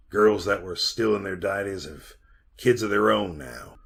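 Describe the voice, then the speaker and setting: An actor playing a haunted, bitter man who narrates a retro radio drama in a brooding, deliberate way with dramatic pauses. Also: deep voice, pushed and bright, husky, heavily acted. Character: haunted, bitter man recounting being lost in a mine.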